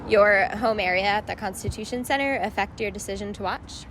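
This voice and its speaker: A woman reporter narrating a local news piece and asking voters friendly, brisk questions. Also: mid-range voice, background noise. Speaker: newspaper reporter.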